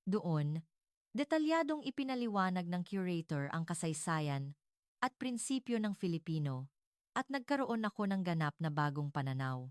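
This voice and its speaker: Low-pitched woman narrating a story, dry sound. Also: very animated, moderate pace, clean tone, no echo. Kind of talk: scripted dramatic first-person story narration.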